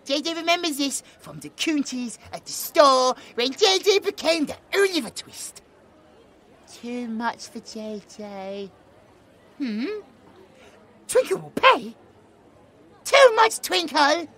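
A man's voice: extremely high pitch, sing-song, slow pace, pauses often, pushed and bright, heavily acted. A British man voicing a childlike possessed young man who talks in broken baby-talk about himself in the third person, in an ASMR roleplay. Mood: childlike, excited.

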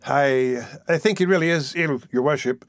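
A man plays a timid court officer in a classic story. He speaks with halting deference, in a slightly lifted voice and a dry, close recording. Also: a little high, no room sound, close to an everyday voice. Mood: timidly concerned. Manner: hesitant, deferential.

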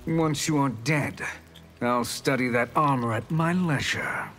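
Man acting a slow-spoken, scheming sorcerer. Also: medium pitch, fairly bright tone, lightly acted. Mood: sly, threatening. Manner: measured, insinuating. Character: smooth, scheming male sorcerer, patient and sly. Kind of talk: video game fight intro dialogue. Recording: music behind.

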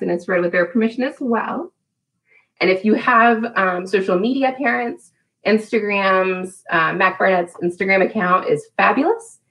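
A woman's voice: mid-range voice, a bit bright, low-quality recording. A woman in her thirties leading a children's storytime, speaking encouragingly and asking simple questions as a preschool teacher. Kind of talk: children's storytime lesson with songs. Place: small room at home.

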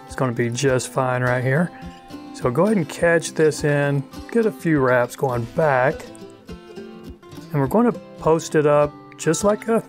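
Casual middle-aged male instructor, somewhat high voice, talks as he works, faint music underneath. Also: a little slow. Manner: relaxed, chatty, thinking aloud. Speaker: fly tying instructor. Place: outdoors on a wide river.

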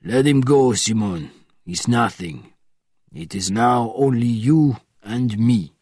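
Hulking informant, low and measured, formal menace, read by a man, husky delivery. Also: darkish tone, no echo, studio quality. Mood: cold.

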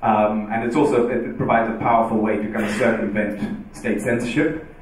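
A man in his thirties from Zimbabwe talks from a stage in a loose, joking manner, filling pauses with "uh" and "um". His voice rings through a big, reverberant hall. The mic sits some way off from him. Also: on the low side, slight background noise. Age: 30s. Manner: joking, relaxed, with many fillers. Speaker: speaker answering audience questions. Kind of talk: casual talk and Q&A on stage. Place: large dark event hall with audience. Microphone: handheld mic on stage, heard from afar. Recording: echoey hall, distant mic.

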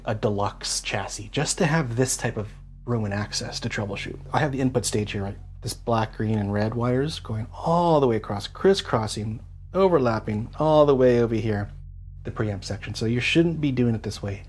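A man's voice: medium pitch, moderate pace, a little mellow, soft background music. A man giving a casual, hands-on workshop walkthrough in an enthusiastic, geeky, colloquial way. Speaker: DIY amp builder and host.

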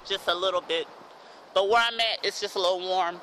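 A man around thirty with a high, piercingly bright voice pleads into his phone outdoors. He is earnest and halting, repeating himself, with faint outdoor noise around him. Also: steady tone. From United States. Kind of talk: pleading amateur vlog. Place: outdoors in a park. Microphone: phone at arm's length.

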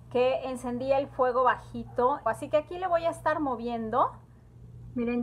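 A woman's voice: sits high, a bit bright, poor audio. A woman, hosting a home cooking tutorial in her kitchen and chatting viewers through each step. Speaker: cooking channel host.

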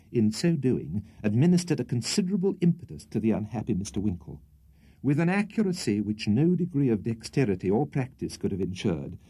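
A man's voice: on the low side, warm tone, slightly rough. A British man reading a literary audiobook as storyteller, in a precise, theatrical manner. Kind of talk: scripted literary audiobook narration.

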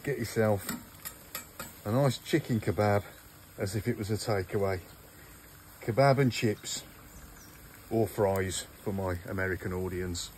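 A man's voice: lowish voice, unhurried, pauses often, a little mellow, a little hiss or hum. An older man hosting a casual outdoor cooking vlog, chatting to viewers as he cooks at a garden grill. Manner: chatty, talks to viewers while cooking, says "look" often.

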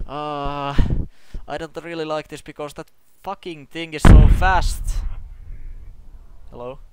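A young man with a Finnish accent, a native Finnish speaker, commenting on a horror game through a headset, talking haltingly with many restarts and yelping when startled. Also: a little high, fairly even intonation, heavy background noise. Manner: startled yelps and shouting when scared. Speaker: gameplay commentator. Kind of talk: let's play commentary. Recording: loud game music behind the voice.

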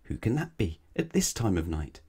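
A man's voice: lowish voice, big pitch swings, moderate pace, dark tone, husky, exaggerated voice. Man putting on a timid, fretful little storybook girl. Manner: fretful, exclaiming.

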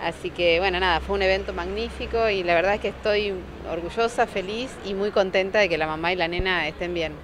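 Calm Argentine woman on a sidewalk. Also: mid-range voice. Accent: Argentine Spanish. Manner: calm, measured, with frequent restarts. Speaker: surgical technologist interviewed on the street. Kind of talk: news street interview. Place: city sidewalk outdoors. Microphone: handheld reporter microphone. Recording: faint street noise behind.

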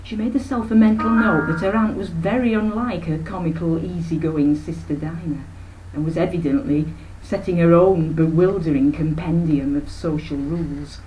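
A woman, reading a novel aloud in expressive narration. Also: deep voice, moderate pace, faint music behind, low-quality recording. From Yorkshire, England. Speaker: author reading own novel. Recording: noticeable background noise, webcam microphone.

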